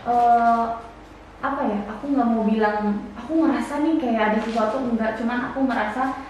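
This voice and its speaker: A woman from Jakarta answering interview questions softly and hesitantly, with many pauses and fillers. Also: a little high, fairly even intonation. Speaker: guest. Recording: echoey room, faint noise.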